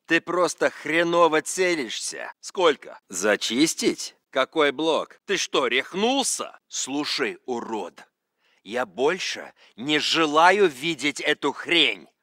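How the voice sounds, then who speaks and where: A cynical soldier in a military game. His voice sits a little high and has a tense, bright edge. The manner is gruff, and the recording is very dry. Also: big pitch swings, slow pace, no room sound, exaggerated voice. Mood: irritated, dismissive. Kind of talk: video game dialogue.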